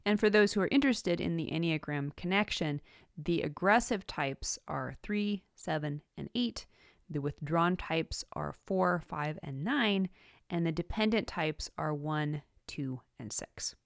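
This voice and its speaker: A woman with a slightly low voice delivers scripted instructional narration as a podcast host. Her manner is measured and orderly, laying out points one by one. The recording is close and dry, with very little room sound. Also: no echo. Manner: measured, enumerating points clearly. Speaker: podcast host giving writing tips.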